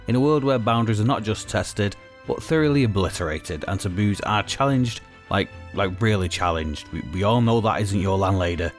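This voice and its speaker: Grandiose male narrator with crude jokes. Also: on the low side. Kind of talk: scripted comic narration.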